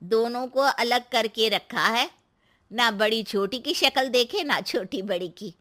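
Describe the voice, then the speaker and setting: Casting: actress as sly, sulky troublemaking aunt for a moral story; bright piercing tone, unhurried taunting delivery. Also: female voice, fairly high pitch. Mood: gloating. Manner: taunting, exaggerated drawn-out sympathy. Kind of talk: Hindi moral story voice acting.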